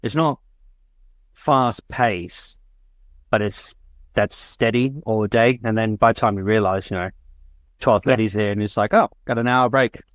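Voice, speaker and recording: A man's voice: lowish voice, fairly even intonation, a little slow, long pauses, no echo. A man co-hosting a podcast, chatting casually and rambling with lots of fillers. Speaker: podcast co-host. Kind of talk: casual chat.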